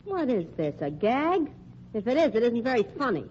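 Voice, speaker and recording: An actress playing a tough, guarded nightclub singer, with an American accent and curt, slangy, defiant speech in old-time radio drama style. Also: female voice, a little high, very animated, normal speed, darkish tone. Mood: hostile. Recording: dull, noisy old radio recording.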